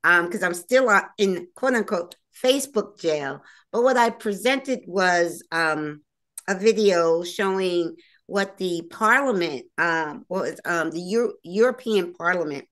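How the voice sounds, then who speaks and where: A woman's voice: fairly low pitch, measured pace, a bit bright. A woman hosting and producing her own talk show, chatting casually with many fillers and restarts. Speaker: host and producer. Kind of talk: casual interview chat.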